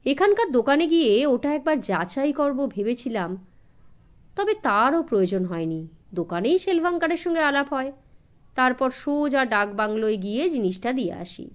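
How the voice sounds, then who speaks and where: A woman voicing a talkative, deferential, smiling gentleman with a nervous streak in a storybook audiobook. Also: high voice, animated, moderate pace. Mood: chatty, fussy. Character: smiling, chatty, nervous middle-class gentleman informant.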